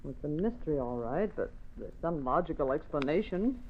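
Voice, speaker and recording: An actress playing a sensible, teasing young secretary in an old-time radio drama, brisk and patient. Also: female voice, low-pitched, lively intonation, a little hiss or hum. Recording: tinny band-limited old radio recording.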